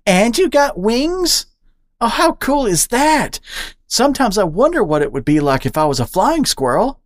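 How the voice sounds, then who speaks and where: A woman voicing a bubbly, brash young female squirrel who blurts things out, with a squeaky excited voice. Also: measured pace, no room sound, clean studio sound. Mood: excitable. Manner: loud, excitable, high-pitched blurting.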